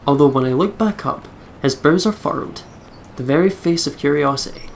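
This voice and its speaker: A man with a fairly high, gravelly voice reads game narration aloud as a let's play host. His delivery is expressive and breaks into casual commentary, with faint noise behind him. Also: normal speed.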